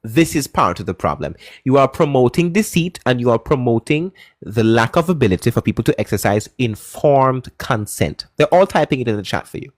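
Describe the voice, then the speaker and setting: Brief: male debate-stream host, lively swooping voice, dry close sound, pushy interrogating questions. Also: mid-range voice, a little fast, no echo. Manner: lively, shouts and giggles at chat. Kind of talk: live call-in debate.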